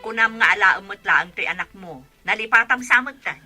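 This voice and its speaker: A woman plays a concerned village aunt in a radio drama. Her mid-pitched voice is piercingly bright and forceful, firm in its worry. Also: fairly quick, soft background music. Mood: insistent. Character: concerned, firm aunt looking after child.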